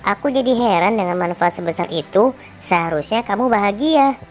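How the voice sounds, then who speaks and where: A male teacher voicing a gentle, wise female rose flower in a falsetto while reading a children's fable. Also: lively intonation, fairly quick, faint music behind. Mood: kindly explaining. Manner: falsetto, kindly and explaining.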